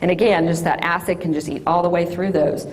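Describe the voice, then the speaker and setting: A woman, an orthodontist giving a loud, lively classroom talk to children. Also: lowish voice, brisk, poor audio. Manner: loud and lively, sing-song call-and-response.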